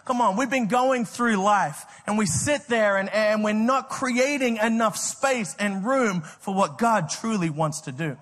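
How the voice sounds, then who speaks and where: An Australian man preaches with a high, bright-toned voice that rings out in a big reverberant hall. He tells his story with energy, stumbles over repeated words and laughs at his own jokes. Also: measured pace, few pauses. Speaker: pastor. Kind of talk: sermon with personal storytelling.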